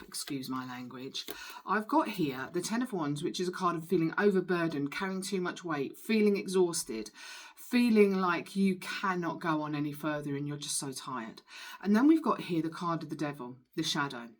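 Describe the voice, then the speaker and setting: A woman giving an informal tarot reading as a spiritual healer, speaking in an emphatic, earnest and reassuring way. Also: lowish voice.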